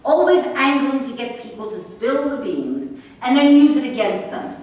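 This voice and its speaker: A woman's voice: sits high, sing-song, moderate pace, darkish tone. A woman reading the part of a stubborn, defiant young woman in a staged play. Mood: exasperated. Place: echoey room at a live staged reading. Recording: echoey room, far mic, faint noise.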